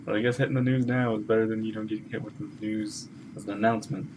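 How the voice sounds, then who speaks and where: A man's voice: lowish voice, flat delivery, fast talker, noisy background. A man streaming his reactions to a game, chatting and joking casually and often reading along with the lines. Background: game music.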